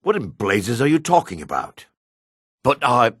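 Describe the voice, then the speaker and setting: An actor playing a male dark elf townsman in a fantasy video game, with a raspy voice, gruff and theatrical. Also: mid-range voice, very animated, measured pace, no echo, clean studio sound. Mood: indignant. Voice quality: raspy, husky.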